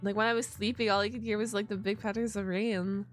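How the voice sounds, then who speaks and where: A woman's voice: mid-range voice, level tone, fast talker, soft background music. A woman game streamer giving casual live reaction commentary with informal, chatty asides.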